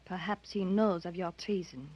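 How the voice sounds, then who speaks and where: A woman's voice: fairly low pitch, normal speed, a little mellow, natural voice. A woman playing an anxious, earnest young woman in intrigue, questioning and melodramatic in old radio drama style. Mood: uneasy. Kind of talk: 1930s-40s radio drama acting. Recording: dull, lo-fi old radio recording.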